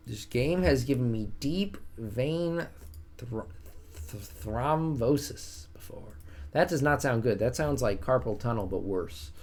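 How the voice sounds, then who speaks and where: A man's voice: medium pitch, expressive, slow, a little mellow. Soothing-voiced man chatting on a game stream. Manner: chatty, self-mocking, reads and answers chat. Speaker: streamer playing a strategy game. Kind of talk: casual live-stream chat with viewers.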